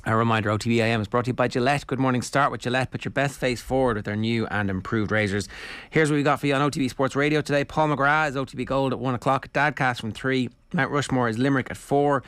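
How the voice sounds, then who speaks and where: Irish man, sports radio host, quick and blunt, dry studio sound. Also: medium pitch, fairly bright tone, clear, resonant tone, no room sound. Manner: blunt, wry, wraps up segments briskly. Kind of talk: casual studio banter.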